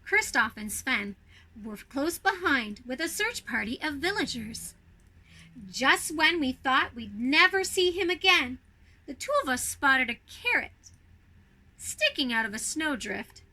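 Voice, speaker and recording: A woman with a high, strikingly bright voice plays a snow queen at storytime. She reads expressively to young children and talks to them directly, as a costumed storyteller would. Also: unhurried, rough recording. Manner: expressive, addresses young listeners directly with questions. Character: snow queen telling her own Christmas story. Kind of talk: animated storybook reading for children.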